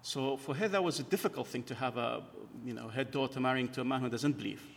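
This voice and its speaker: Gravelly man, halting testimony in a hall. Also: mid-range voice, slight background noise. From Kurdistan, northern Iraq. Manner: hesitant, with frequent fillers and restarts. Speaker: church member giving testimony. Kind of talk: personal testimony. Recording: long hall reverb.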